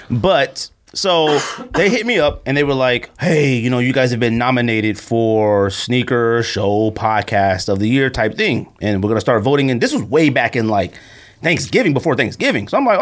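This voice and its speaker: A man co-hosting a podcast, telling stories casually in slangy speech and acting out what people said. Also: medium pitch. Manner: slangy, animated storytelling, acting out quotes. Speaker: podcast co-host. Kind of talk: casual podcast chat and storytelling.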